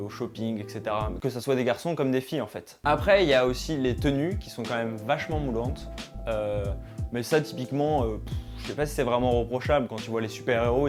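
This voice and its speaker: A young man hosting a conversational video essay, speaking informally and straight to his viewers. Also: mid-range voice. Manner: informal, addresses viewers directly.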